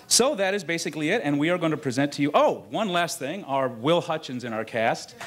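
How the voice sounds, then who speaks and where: A man's voice: on the high side, lively intonation, fairly quick. A man presenting a live performance at a convention with an informal stage introduction. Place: live in front of a convention audience.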